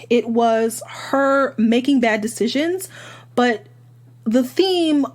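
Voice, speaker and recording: A woman with a slightly hoarse voice chats through a book review, rambling a little and stopping to restart her thoughts. Her pitch sits in a middle range for a woman. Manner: chatty, rambling with fillers and restarts. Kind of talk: casual book review monologue.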